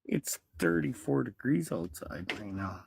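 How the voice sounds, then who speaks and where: A man's voice: mid-range voice, very animated, unhurried, slight background noise. A man from Alberta, a stream guest sitting outdoors, talking in a laid-back, hesitant way. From Alberta, Canada. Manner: laid-back, slightly gravelly, hesitant fillers. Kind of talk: casual livestream banter.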